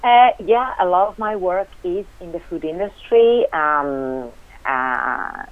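A woman's voice: lowish voice, animated, slow. A woman from Italy with an Italian accent, a guest on a radio interview, speaking hesitantly with many fillers and restarts. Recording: tinny phone line.

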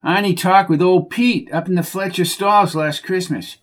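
Guy doing a whiny dopey stable guy, high and childish, kinda dumb. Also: moderate pace, close to an everyday voice. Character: dim-witted, whiny heavyset stable hand.

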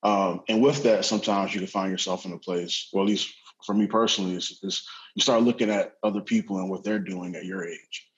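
A man's voice: sits low, fairly even intonation, a little fast, muffled recording. A twenty-five-year-old man on a panel, thinking aloud with many fillers. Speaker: panel guest. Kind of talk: casual chat.

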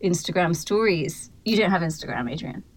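A woman's voice: on the low side, expressive, fairly quick. Hesitant woman recording in a cupboard. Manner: hesitant, many ums and restarts. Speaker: podcast co-host. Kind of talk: casual chat. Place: cupboard in a hotel room. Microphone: computer mic, peaking.

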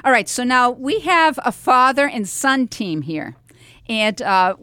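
A woman's voice: fairly high pitch, sing-song, unhurried, sharp tone, clean tone, no echo. A woman radio host chatting casually and informally with guests in the studio, encouraging them as she goes.

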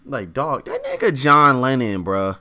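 A man of twenty in casual podcast chat, slangy and animated, leaning on "bro" a lot. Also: mid-range voice, unhurried, crowd noise. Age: 20s.

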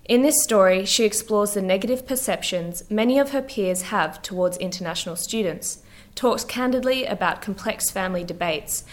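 A woman hosts a podcast and opens it with a scripted introduction. She reads clearly and at a measured pace, with a mid-range voice. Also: mid-range voice. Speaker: podcast host.

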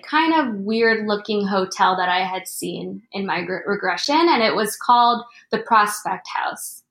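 A woman's voice: medium pitch, fairly bright tone, echoey room. A young woman from Florida, a podcast guest talking casually, hesitant, with many fillers. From Florida, United States. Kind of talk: casual interview.

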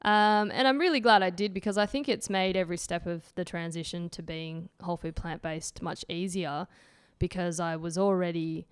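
A woman's voice: lowish voice, fairly even intonation, moderate pace, few pauses, clear, resonant tone, no room sound, studio quality. A woman in her late twenties, a podcast guest who runs a healthy eating website, answering in a thoughtful way and restarting phrases as she goes. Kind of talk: casual podcast interview.

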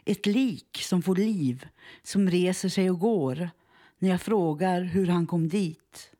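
Female poetry reader, lowish and dark-toned, measured and solemn. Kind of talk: poetry recitation.

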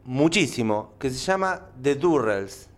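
Argentine male radio columnist, mid pitch, keen and descriptive. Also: animated, unhurried. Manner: enthusiastic, descriptive. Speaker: film and TV columnist. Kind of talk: casual radio chat. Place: radio studio.

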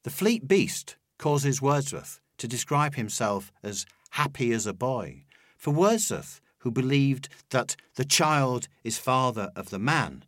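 Expressive man reading a literary essay. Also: mid-range voice, dry recording, clean studio sound. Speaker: essay reader and narrator. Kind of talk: scripted literary narration with poetry quotations.

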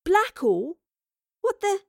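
A woman's voice: very high voice, big pitch swings, slow pace, vocal fry, no echo, clean studio sound, voice pushed up, heavily acted. A woman voicing a feisty, suspicious young working-class girl in an audio drama, blunt and defiant, with a British accent. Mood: alarmed. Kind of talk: audio drama character acting.

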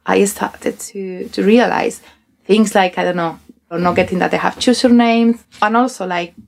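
A woman's voice: medium pitch, steady tone, normal speed, creaky. Woman, Spanish accent, university staff, hesitant conversational podcast speech. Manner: hesitant, many fillers and restarts.

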